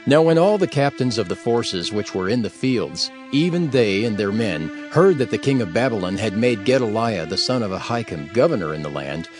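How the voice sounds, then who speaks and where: A man's voice: lowish voice, big pitch swings, normal speed, few pauses, over music. A man narrating scripture from a script as an audio Bible reader, formal and solemn.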